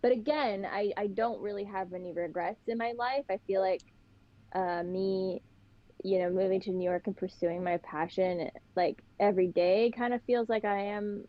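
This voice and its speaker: A young woman with a Pittsburgh accent, a podcast guest chatting and telling stories in a lively way. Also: mid-range voice, normal speed. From Pittsburgh, Western Pennsylvania. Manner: chatty, animated storytelling. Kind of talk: casual chat. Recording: slightly dull, lo-fi sound.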